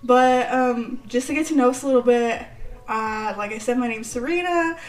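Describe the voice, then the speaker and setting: A woman's voice: a little high, normal speed, distant mic, low-quality recording. A woman in her twenties hosting a casual podcast, chatty and giggly, full of "like" fillers. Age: 20s. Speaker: podcast host. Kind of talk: casual chat.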